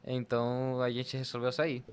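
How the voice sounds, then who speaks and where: A man's voice: medium pitch, completely flat delivery, moderate pace. A young man from Latin America, telling his personal story conversationally as a podcast guest.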